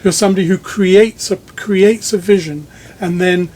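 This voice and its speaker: A man conducting a technical interview in a conversational way, speaking in measured, thoughtful, sometimes halting phrases. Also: sits high, steady tone, a little mellow. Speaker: magazine editor interviewing a speaker designer. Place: listening room in a home.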